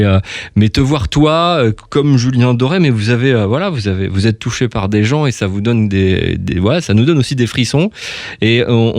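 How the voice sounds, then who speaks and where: A man's voice: mid-range voice, a little mellow, no echo, studio quality. A man hosting a casual radio interview, asking informal, rambling questions. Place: radio studio.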